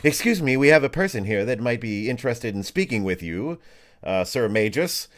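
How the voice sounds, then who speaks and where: A man's voice: mid-range voice, sing-song, normal speed, lightly acted. A man voicing a flustered, polite and chatty female receptionist at a mage tower in a tabletop game. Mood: formal.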